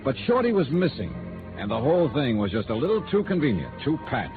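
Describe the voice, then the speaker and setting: A man's voice: medium pitch, wildly swooping pitch, brisk. An American man acting a tough, skeptical insurance investigator in a radio noir drama, with a wry, clipped, hard-boiled delivery. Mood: wry, matter-of-fact. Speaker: radio drama lead and narrator. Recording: tinny vintage radio recording with music.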